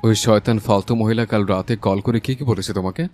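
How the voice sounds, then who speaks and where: Man acting as deep-voiced, emphatic young husband. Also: fairly even intonation, brisk, darkish tone, close to an everyday voice. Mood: tender, consoling. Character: hot-tempered young husband, fiercely protective, tender with his wife. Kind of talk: dramatised romantic audio story. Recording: voice over a music bed.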